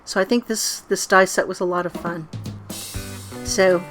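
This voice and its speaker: A woman's voice: on the low side, fairly even intonation, unhurried, a bit bright, faint music behind. A middle-aged woman narrating a casual craft tutorial as its host.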